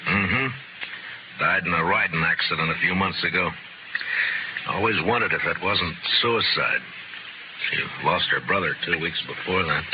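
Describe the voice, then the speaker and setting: An actor playing a stern, principled frontier marshal, dry and laconic, in 1950s radio western style. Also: male voice, low-pitched, normal speed, long pauses, background noise, natural voice. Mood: wary. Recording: dull old radio recording, music behind.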